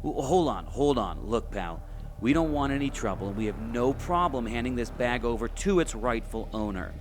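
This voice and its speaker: A man's voice: mid-range voice, animated, moderate pace, background noise, natural voice. Male actor for wary, wisecracking adventure enthusiast in audio drama; mid-range everyday voice. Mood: wary, firm. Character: skeptical, sarcastic male adventure enthusiast, wary buddy type.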